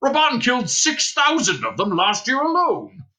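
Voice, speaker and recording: A scheming heavyset Baron, as a male narrator puts him on, swinging from loud barks to cold, measured whispers. His pitch leaps about wildly, and the tone stays bright and forceful throughout an audiobook reading. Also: sing-song, full character voice. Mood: scoffing. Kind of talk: audiobook character voice.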